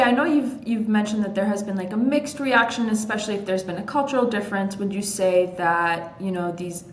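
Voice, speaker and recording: A woman whose first language is Ukrainian, hosting a podcast and asking friendly questions with many fillers. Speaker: podcast host. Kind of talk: interview questions. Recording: echoey room with faint rumble.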